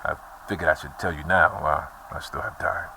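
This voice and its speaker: An actor playing a weary, troubled man bent on revenge, speaking slowly and grimly in a horror audio drama. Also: rumbling low, steady tone, gravelly, bright, forceful tone, far from the mic, slight background noise, exaggerated voice, poor audio. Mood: calm resolve.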